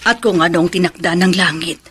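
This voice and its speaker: A woman's voice: lowish voice, steady tone, fast, fairly bright tone, husky. A woman playing a pious, consoling middle-aged mother in a Filipino radio drama, giving solemn counsel.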